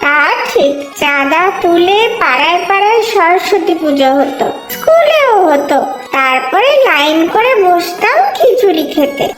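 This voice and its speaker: A busy cartoon mother with a West Bengal Bengali accent, extremely high and animated. She is teasing and a bit exasperated, in a roomy echo. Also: a little slow, full character voice. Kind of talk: cartoon family dialogue. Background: loud background music.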